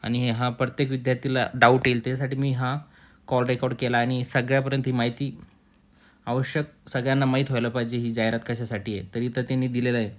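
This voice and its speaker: Young guy explaining stuff to viewers, talks fast, kinda monotone, repeats himself. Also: mid-range voice. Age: young adult. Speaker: student making an exam and job information video.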